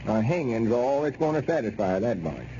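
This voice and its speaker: An actor playing a fretful, self-pitying old country storekeeper, grumbling in a folksy Southern drawl for a radio comedy. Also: male voice, medium pitch, sing-song, moderate pace, warm tone, close to an everyday voice. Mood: anxious. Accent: rural American South. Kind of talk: 1930s radio comedy acting. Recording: muffled, noisy old radio recording.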